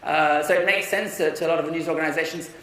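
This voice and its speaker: A young man giving a conference talk in an energetic, persuasive way, often restarting his sentences. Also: on the high side, quick pace. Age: young adult. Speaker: conference speaker. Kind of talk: keynote talk. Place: large conference hall. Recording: echoey hall from far off.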